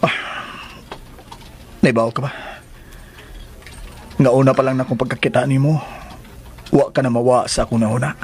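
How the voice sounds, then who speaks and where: An actor playing an earnest, solemn middle-aged suitor in a Philippine radio drama, speaking with a Cebuano Filipino accent. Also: male voice, medium pitch, steady tone, normal speed, pauses often, darkish tone, a little hiss or hum, natural voice. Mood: insistent, tender. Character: earnest, strict-looking middle-aged suitor proposing marriage. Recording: music bed behind radio drama.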